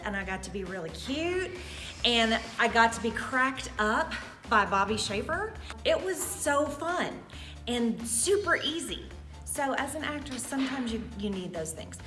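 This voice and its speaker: A woman's voice: fairly high pitch, fairly bright tone. A middle-aged woman, an actress, chatting casually and reminiscing in a theatrical, jokey manner. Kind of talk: casual reminiscing about past stage roles.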